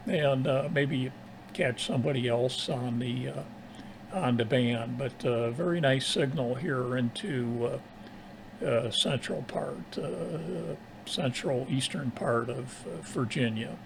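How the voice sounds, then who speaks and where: An American man with a creaky, gravelly voice chats casually as a ham radio operator. He talks in a halting, rambling manner. There is some faint noise behind him. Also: mid-range voice. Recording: clearly noisy background.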